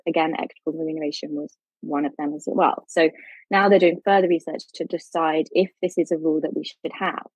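A woman's voice: fairly low pitch, a little mellow, dry recording, poor audio. A woman, a legal academic, explaining policy with everyday analogies and frequent fillers in a podcast discussion.

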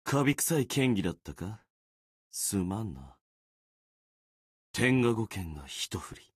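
A man voicing a gloomy, self-deprecating young warrior sword spirit in a video game, brooding and resigned, with a husky voice. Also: big pitch swings, dry recording, studio quality, exaggerated voice. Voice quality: low, husky. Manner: brooding, resigned, muttering with trailing pauses. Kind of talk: Japanese video game character acting.

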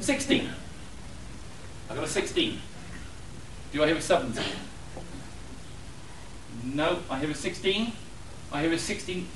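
A man running a charity auction with jokey, quick patter, calling out bids to a crowd. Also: fairly high pitch, background noise. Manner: lively, self-mocking, rattles off bids. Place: hotel function room at a convention. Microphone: far room camera. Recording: echoey room, distant camera.

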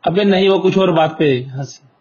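A young man in a casual phone chat, giving placating, agreeing replies over a loudspeaker call. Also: fairly high pitch, moderate pace, slightly rough. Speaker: gamer, YouTuber. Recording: muffled lo-fi phone call recording.

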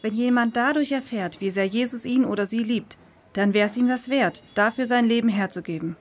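A woman's voice: a little high, normal speed, lightly acted. Sincere, reassuring female friend in a children's radio play acted by a woman. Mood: earnest. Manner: earnest, reassuring.

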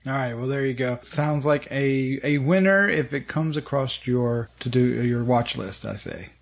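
A man's voice: mid-range voice, moderate pace, vocal fry. A man hosting a podcast in a casual, conversational style, chatting with a reviewer. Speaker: podcast host. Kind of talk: casual review chat, asking questions.

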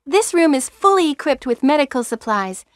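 Very high-pitched, eager rookie medic. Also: female voice, sing-song, normal speed, no echo, studio quality. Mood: helpful, concerned. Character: eager, girlish young woman rookie medic. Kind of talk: stilted 1990s video game voice acting.

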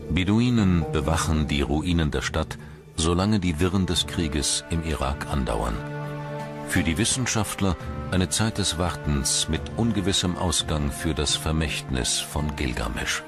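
A man's voice: a bass voice, expressive, unhurried. A man reading scripted documentary narration as the narrator. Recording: music bed under the voice. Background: music.

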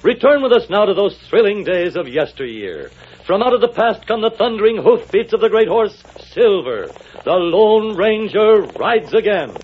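A man's voice: high voice, expressive, moderate pace, highs cut off, slight background noise. A man narrating a 1940s radio serial as announcer, in a dramatic, declamatory style on an old recording.

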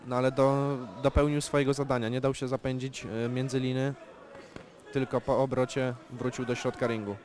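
A man giving calm, measured live play-by-play commentary on an amateur boxing bout, with technical analysis of the punches. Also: mid-range voice. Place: boxing event venue. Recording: loud crowd in a venue.

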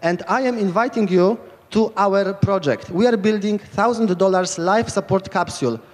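A man's voice: high voice, normal speed, a little mellow, big-room sound. A man in his thirties with a Polish accent, giving a rambling, enthusiastic lightning talk into a handheld mic at a camp stage. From Poland. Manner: rambling, enthusiastic, many restarts. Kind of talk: informal conference talk. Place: large tent stage at hacker camp.

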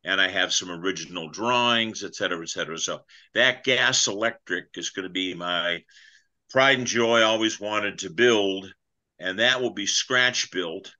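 A man's voice: sits low, moderate pace, sharp tone. An older man chatting casually on a video call about his model-building plans, rambling and self-deprecating, often trailing off mid-thought. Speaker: clinic presenter. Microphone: video call webcam.

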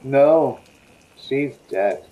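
An actor playing an unrepentant, menacing escaped madman in old-time radio suspense style, speaking in a halting, deliberate way. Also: mid-range voice, lively intonation, mellow, highs cut off. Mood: cold, matter-of-fact. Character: escaped insane male killer, brooding, unrepentant, menacing. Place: indoor room at home, on a video call.

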